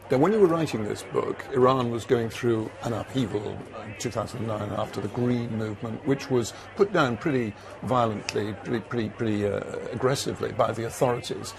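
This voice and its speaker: A man's voice: medium pitch, fairly quick, creaky, few pauses, crowd noise. A man interviewing an author for a news program, asking probing, direct questions.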